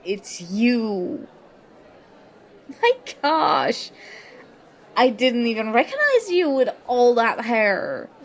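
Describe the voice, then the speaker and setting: Casting: female performer as sarcastic college girl in an audio roleplay, highish voice, unhurried delivery, confrontational and wounded pride. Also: sing-song, heavily acted. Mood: angry, incredulous. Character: indignant young woman student, sarcastic former school enemy. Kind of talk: ASMR roleplay acting, one side of a dialogue.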